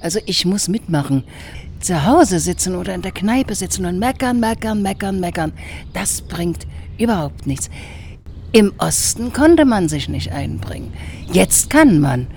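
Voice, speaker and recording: A woman's voice: on the low side, sing-song, measured pace, a little mellow, slight background noise. Emphatic woman in her seventies. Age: 70s. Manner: emphatic, repeats words for stress. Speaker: interviewee. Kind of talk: vox pop statement.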